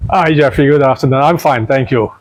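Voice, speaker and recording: A man from the Netherlands, a native Dutch speaker, answering interview questions as head of a nature foundation, calm and measured. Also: mid-range voice, dry recording. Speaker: guest, nature foundation president. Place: outdoors by the beach.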